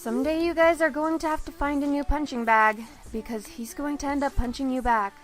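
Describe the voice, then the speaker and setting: Audio drama: actress as sensible, exasperated schoolgirl, high-pitched, dry delivery. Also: fairly even intonation, a little fast, soft background music, lightly acted. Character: bookish teenage schoolgirl, sensible, easily exasperated. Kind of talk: naturalistic audio drama dialogue.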